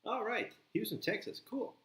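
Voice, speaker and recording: A man's voice: sits high, lively intonation, normal speed, fairly bright tone, echoey room. A man from Sweden with a Swedish accent, chatting casually to viewers of his live stream while building, rambling and answering comments. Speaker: livestream host building a model kit.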